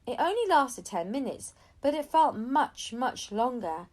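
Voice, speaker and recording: A woman reading a children's novel aloud as an expressive storyteller. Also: high voice, unhurried. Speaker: audiobook reader.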